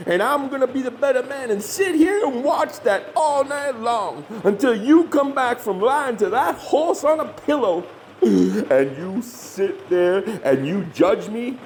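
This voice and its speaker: Bossy high-pitched hillbilly monster hunter. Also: male voice, darkish tone, a little hiss or hum. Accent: rural Southern US, hillbilly dialect. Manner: folksy, self-righteous, glib. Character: bossy, shameless hillbilly monster hunter, scheming older brother. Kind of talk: comedy horror audio drama acting.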